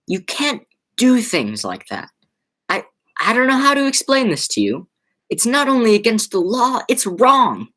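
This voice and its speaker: A teenage girl playing a flustered, exasperated man in a school radio play, stammering and halting as she speaks. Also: on the high side, wildly swooping pitch, a bit bright, heavily acted. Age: teens. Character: flustered, exasperated male theater critic and nephew.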